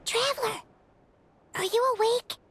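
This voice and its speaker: A woman voicing a tiny childlike fairy companion in a video game, squeaky, chatty and bubbly. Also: unhurried, a bit bright. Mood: excited, nervous. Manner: refers to itself in the third person, bubbly. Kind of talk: video game character acting.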